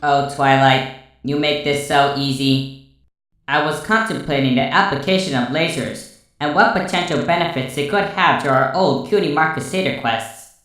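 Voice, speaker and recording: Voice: mid-range voice, moderate pace, a bit bright, distant mic. A performer voicing a playful young female robot pony assistant with a synthetic, echoing voice, in an audio story. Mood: teasing. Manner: clipped machine-like status reports mixed with teasing. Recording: echoing processed sound.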